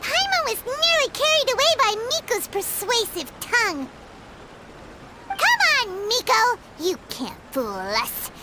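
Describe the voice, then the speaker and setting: An actress playing a tiny, childlike fairy companion girl in a video game, excitable, blunt and naive, with a squeaky delivery. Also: a little slow, heavy background noise, heavily acted. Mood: exclaiming, suspicious. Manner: squeaky, excitable, refers to self by name. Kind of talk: scripted video game dialogue. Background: game music.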